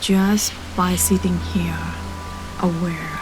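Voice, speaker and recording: Very slow, soothing woman guiding meditation. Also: lowish voice, music in the background. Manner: gentle, soothing, unhurried with long pauses. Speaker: meditation guide. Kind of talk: guided meditation narration. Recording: clearly audible steady background ambience noise.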